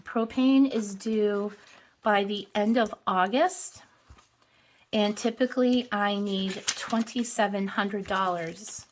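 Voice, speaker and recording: A woman with a hoarse voice hosts a casual money vlog. She speaks at an unhurried, slow pace at a middling pitch. She chats easily and counts bills out loud as she goes. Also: slight background noise. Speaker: budgeting channel host. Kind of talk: casual cash-stuffing vlog.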